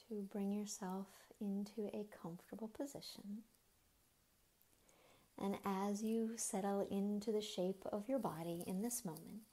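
Very slow, mellow woman guiding meditation. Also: mid-range voice. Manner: gentle, unhurried, with long pauses between short phrases. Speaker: meditation guide. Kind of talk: guided body scan meditation.

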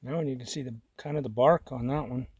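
A man's voice: mid-range voice, fairly even intonation, fast, a little mellow, husky, no room sound, studio quality. A middle-aged man chatting casually while he hikes an outdoor trail, pointing things out and easily impressed. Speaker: vlogger. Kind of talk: casual hiking commentary. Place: outdoors on a rocky desert trail.

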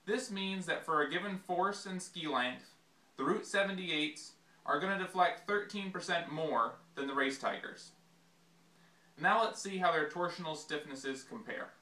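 A young man with a bright, slightly high voice walks through a technical demonstration in a measured, scripted way. He sounds like he is explaining each step carefully for viewers. The voice rings around a small, hard-walled workshop. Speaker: ski company presenter. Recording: echoey workshop room.

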